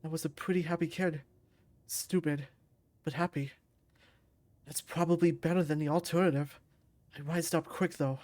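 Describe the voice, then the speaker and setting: Woman doing a guilt-ridden young male fighter, low-voiced, quiet, introspective, bitterly wry, visual novel monologue. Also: steady tone, normal speed, pauses often, dry recording, close to an everyday voice. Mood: guilty, mournful.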